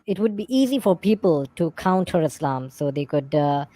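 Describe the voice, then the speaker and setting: A man whose first language is Urdu, speaking English haltingly as a stream guest. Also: fairly high pitch, lively intonation, warm tone. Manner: halting, searching for words in English. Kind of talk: livestream discussion.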